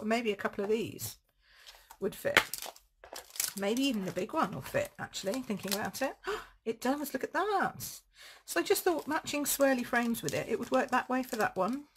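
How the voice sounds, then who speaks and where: A woman with a croaky throat, chatting casually as she demonstrates card making at home. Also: on the high side, animated, fairly quick, noisy background. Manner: chatty, rambling, thinks aloud while crafting. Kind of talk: casual live craft demo.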